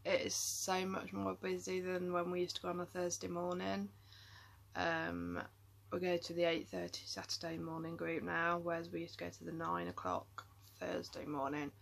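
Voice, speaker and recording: A woman's voice: lowish voice, animated, moderate pace, creaky. A tired woman chatting casually to camera in a rambling vlog, with lots of ums and trailing thoughts. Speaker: vlogger.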